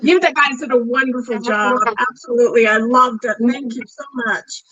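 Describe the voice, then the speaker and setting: A woman's voice: on the high side, fairly even intonation, moderate pace, bright, forceful tone, no room sound, dull audio. A woman playwright chatting casually and appreciatively on a video call. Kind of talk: casual question-and-answer chat.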